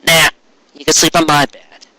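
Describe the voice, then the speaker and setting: A man's voice: on the high side, flat delivery, moderate pace, bright, forceful tone, voice pushed up, rough recording. A man playing a kindly, bashful young male pony baker in a storybook reading, earnest and a little flustered. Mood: eager, flustered.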